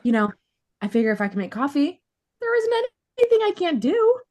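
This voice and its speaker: A woman plays an eager, pampered young woman in a sitcom table read. Her voice is fairly high and very animated, full of naive enthusiasm. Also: brisk. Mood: proud, excited.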